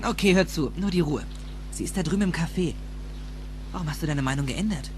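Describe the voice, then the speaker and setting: A man's voice: a little high, fairly quick, darkish tone, lightly acted. An actor playing an anxious, impatient teenage boy in a dubbed film drama, urging and sometimes stammering. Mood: coaching, exasperated. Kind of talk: dubbed film acting in a German audio drama. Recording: noisy with hum.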